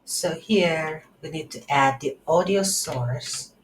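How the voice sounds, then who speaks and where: A woman giving an unscripted screen-recorded coding tutorial, thinking aloud in halting short phrases. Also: low-pitched. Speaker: tutorial instructor. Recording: roomy echo, audible background noise.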